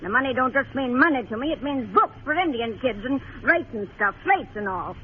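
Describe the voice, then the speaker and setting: A woman's voice: high voice, expressive, a little fast. An actress playing a brusque, weary frontier woman of about fifty, plain-spoken and folksy, in a radio western. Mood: defensive. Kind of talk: 1950s radio western acting. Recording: tinny noisy old radio recording.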